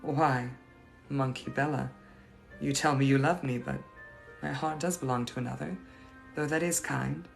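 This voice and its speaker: A man's voice: mid-range voice, fairly even intonation, normal speed, creaky, pauses often, soft background music, lightly acted. A man voicing the ghost of a young lady from the 1880s, speaking in a formal, old-fashioned and gracious manner. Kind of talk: improvised live roleplay answering viewers.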